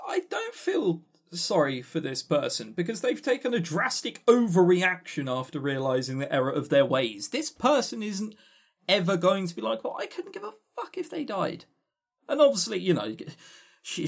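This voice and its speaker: Man, very lively swooping pitch, blunt and sweary, scornful, stammering for emphasis, casual podcast review chat. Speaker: podcast co-host.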